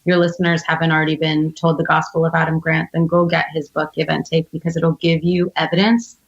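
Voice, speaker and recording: Low-voiced woman on dull, muffled audio. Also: flat delivery, brisk. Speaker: interview guest, entrepreneur. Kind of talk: conversational storytelling and advice. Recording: dull, band-limited audio.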